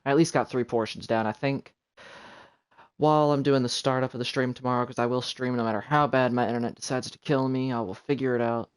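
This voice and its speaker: A man's voice: mid-range voice, fairly even intonation, fast, clean tone. A man giving casual live commentary while streaming a video game. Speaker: game livestreamer. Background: video game music.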